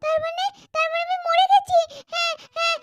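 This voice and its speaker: A woman's voice: very high voice, level tone, fairly quick, a bit bright. A woman voicing the bewildered, innocent ghost of a little girl in a doll-story cartoon, pleading childishly and repeating her calls. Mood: confused, frightened.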